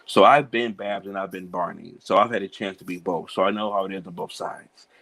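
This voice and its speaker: A man in his thirties from Cincinnati, a children's book author answering interview questions in a relaxed way, laughing easily and filling pauses with "um" and "you know". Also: sits low, gravelly, low-quality recording. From Cincinnati, USA.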